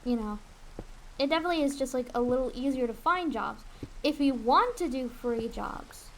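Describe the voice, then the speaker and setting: Young female game streamer, higher-pitched, candid rambling chat, some background noise. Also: expressive, normal speed. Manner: candid, rambling, swears casually. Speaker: streamer and aspiring voice actress. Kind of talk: casual livestream chat while gaming. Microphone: USB desk condenser mic.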